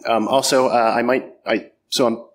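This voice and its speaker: Middle-aged Canadian man, mid-pitch, presenting at a conference with a faint hiss behind him, loose and self-mocking, filler-heavy. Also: little pitch movement, moderate pace. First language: French or English, bilingual. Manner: self-deprecating, joking, many ums and uhs. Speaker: conference speaker. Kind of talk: conference talk. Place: conference hall.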